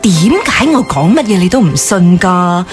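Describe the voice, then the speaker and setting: An actress playing an eager, gossipy female office worker in a radio drama, talking in an animated, insistent way. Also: lowish voice, normal speed, faint music behind.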